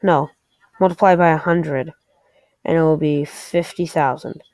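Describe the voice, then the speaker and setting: A woman's voice: sits low, steady tone, a little slow, darkish tone, no echo. A woman giving a casual game walkthrough, thinking aloud and a little hesitant as she explains. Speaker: presenter of a game tutorial. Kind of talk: casual screen-recorded game walkthrough commentary.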